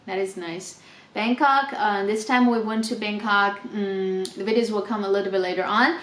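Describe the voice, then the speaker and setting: A woman's voice: medium pitch, fairly even intonation, normal speed, fairly bright tone, reverberant. A woman in her twenties from China, a native Chinese speaker, casually answering viewer questions in an unscripted travel vlog. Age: 20s. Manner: chatty, reads questions aloud then answers with laughs. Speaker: travel vlogger.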